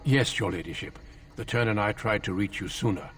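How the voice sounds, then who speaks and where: An actor playing a grave, composed veteran warrior in a fantasy game, speaking in a formal, measured, courteous manner. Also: male voice, fairly low pitch, distant mic, soft background music, lightly acted.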